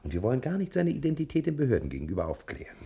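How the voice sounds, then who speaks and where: An actor playing a courteous, self-assured, scheming private detective in a 1960s German radio crime play, with a husky voice. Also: male voice, medium pitch, wildly swooping pitch, very fast, dark tone, full character voice. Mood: conspiratorial, insistent.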